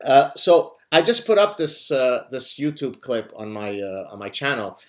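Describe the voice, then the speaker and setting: A man's voice: medium pitch, sing-song. A man from Lebanon whose first language is Arabic, hosting a conversation and telling stories emphatically, often checking in with the listener. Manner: emphatic, speaks fast, often checks in with "right?". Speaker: host. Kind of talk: casual conversation, animated storytelling.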